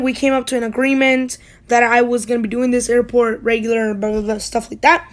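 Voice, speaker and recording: A school-age youngster from the United States hosting a hobby channel, giving a casual, rambling voice-over that often corrects itself. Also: sits high.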